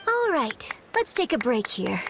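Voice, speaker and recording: A woman playing a poised, gentle young opera singer in a video game, polite and articulate. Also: sits high, sing-song, unhurried, gravelly, slight background noise, heavily acted. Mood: amused.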